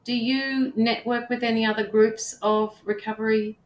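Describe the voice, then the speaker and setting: A woman's voice: on the high side, fairly even intonation, normal speed. A woman casually hosting a live online interview in an echoey room. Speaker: host.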